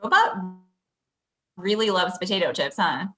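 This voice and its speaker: A woman's voice: on the low side, animated, unhurried, pushed and bright. A young woman playing a tactful, supportive fiancee in a comedy table read over video call. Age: young adult.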